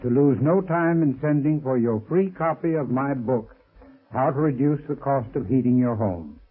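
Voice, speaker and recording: Male sponsor spokesman, old-time radio; deliberate delivery, rough-edged voice, very narrow lo-fi sound. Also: medium pitch. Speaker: heating expert sponsor spokesman. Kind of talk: 1930s radio advertising pitch.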